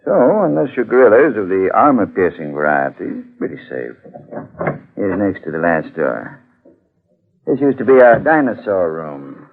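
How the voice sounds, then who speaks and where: A man's voice: deep voice, big pitch swings, normal speed, vocal fry, exaggerated voice. An actor playing a cautious, hospitable male museum professor in 1940s radio drama, courteous and practical. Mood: dry, matter-of-fact. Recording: tinny, band-limited old radio recording.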